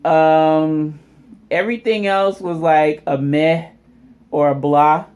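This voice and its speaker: Slow-paced, casual vlog host. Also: mid-range voice, steady tone, darkish tone, clear, resonant tone. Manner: informal, addresses viewers as y'all. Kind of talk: casual unboxing vlog commentary.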